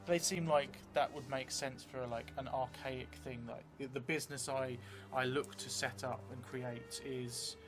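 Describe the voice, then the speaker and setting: A middle-aged man thinking aloud as he plays a solo tabletop game, halting and hesitant, often trailing off mid-thought. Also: mid-range voice, steady tone. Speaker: solo tabletop role-player streaming a game. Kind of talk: thinking aloud while playing a journaling game. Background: music.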